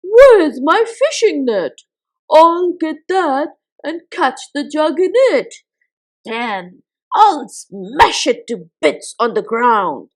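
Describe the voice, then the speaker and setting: A woman's voice: sits high, very animated, slow, full character voice. A woman doing a frantic little thief. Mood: scheming. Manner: loud, frantic, wailing. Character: mean, thieving little male fellow, panicky.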